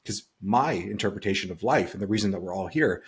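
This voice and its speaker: Man, podcast co-host, financial coach, earnest, opinionated, low husky voice. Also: expressive, fast talker. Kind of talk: casual podcast talk.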